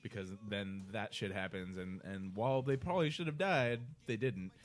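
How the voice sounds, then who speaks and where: A man's voice: on the low side, moderate pace. A young American man co-hosting a podcast, talking casually about films with plenty of slang and swearing. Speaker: podcast co-host. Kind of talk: casual movie discussion with friends.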